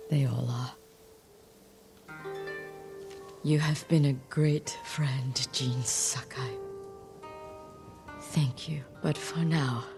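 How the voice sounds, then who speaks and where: A weary, proud old warrior noblewoman in mourning. Her low, creaky voice moves slowly in a cinematic game scene, with prominent music behind. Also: heavily acted. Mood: tender grief. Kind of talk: cinematic video game acting.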